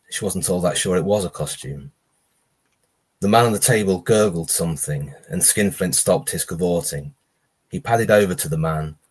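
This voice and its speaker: A man's voice: sits low, husky. A man who is an author, giving a live reading of his own pulpy horror story.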